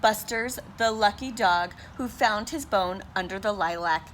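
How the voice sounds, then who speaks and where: A woman's voice: mid-range voice, unhurried, a bit bright, slight background noise. A woman teacher reading a picture book aloud to her class, sounding out and spelling words letter by letter.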